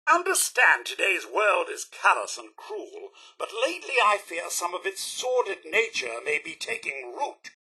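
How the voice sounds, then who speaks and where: Video game robot butler, British: piercingly bright and slightly raspy, unhurried, fussy, over-polite, dramatic. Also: male voice, high voice, heavily acted. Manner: formal, over-polite, crisply enunciated, dramatic. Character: prim, fussy robot butler with wounded loyalty. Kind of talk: theatrical video game voice acting.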